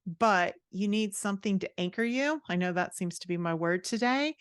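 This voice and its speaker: Woman, lowish register, dry recording. Podcast guest coach, conversational, reflective, encouraging advice. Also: clean tone, no room sound. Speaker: guest writing coach and author.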